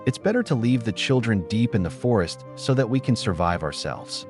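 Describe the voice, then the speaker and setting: A man voicing a cold, stubborn mother in a children's fairy tale, brisk and decisive. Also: fairly low pitch, lively intonation, a little mellow, natural voice. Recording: music under the voice.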